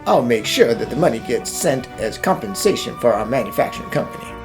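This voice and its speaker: A woman voicing a brisk, self-assured bank executive in a cartoon fan dub, with a businesslike yet theatrical delivery. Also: rumbling low, animated, music in the background. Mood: brisk, relieved. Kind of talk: animated mystery fan dub acting.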